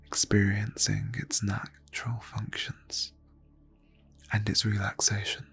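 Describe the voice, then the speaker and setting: A man leading an ASMR guided meditation in a soft, breathy near-whisper, phrasing slowly and soothingly with long pauses. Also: fairly low pitch, monotone. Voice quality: breathy, hushed.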